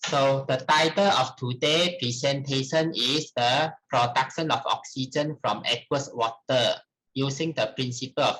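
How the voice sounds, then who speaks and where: A middle-aged man with a Malaysian accent gives a formal academic presentation in a halting, deliberate manner. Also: medium pitch, fairly bright tone, poor audio. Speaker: researcher presenting at a conference.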